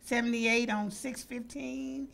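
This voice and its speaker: A woman's voice: a little high, steady tone, slow, creaky, a bit bright, a little hiss or hum. A woman in her seventies from Tennessee, introducing herself plainly into a handheld mic held for her. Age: 70s. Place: indoor community room. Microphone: handheld mic held by another.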